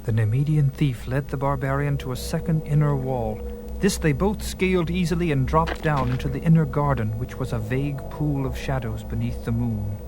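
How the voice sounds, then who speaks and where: A man's voice: medium pitch, moderate pace, rough recording. A man giving theatrical, suspenseful narration of a dramatized story. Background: music.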